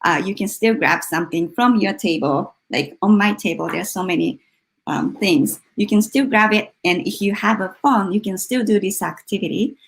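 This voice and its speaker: A woman's voice: mid-range voice, normal speed. A woman hosting a live online workshop in an informal, slightly hesitant way. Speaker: workshop host. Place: online video session.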